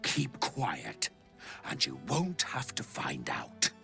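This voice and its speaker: A man voicing a sinister, condescending schemer in a video game, breathy, deliberate and taunting. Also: fairly low pitch, wildly swooping pitch, pushed and bright, soft background music, exaggerated voice. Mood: quiet menace. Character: sinister condescending male schemer, menacing and mocking.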